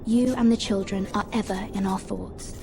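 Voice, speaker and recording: An actress playing a careful young noblewoman in a fantasy game, polite and gentle, with music behind. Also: medium pitch, fairly even intonation, normal speed, vocal fry, slight background noise, natural voice. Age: young adult. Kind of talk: dramatic video game acting.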